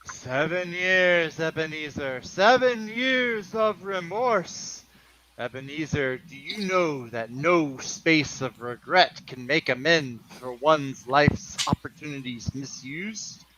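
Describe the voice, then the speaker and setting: A mournful male ghost, solemn and bombastic, giving warnings in a comic radio play, voiced by a man. He speaks slowly and fairly high, with a hard, forceful edge. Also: lively intonation, a little hiss or hum, full character voice, low-quality recording. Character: mournful, warning ghost of dead business partner.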